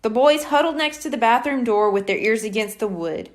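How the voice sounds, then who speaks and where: A woman narrating a scripted children's storybook as an expressive reader. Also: fairly high pitch, brisk.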